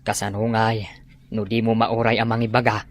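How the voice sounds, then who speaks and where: A man playing a calm, reassuring husband and father in a radio drama. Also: lowish voice, normal speed, a little hiss or hum, lightly acted.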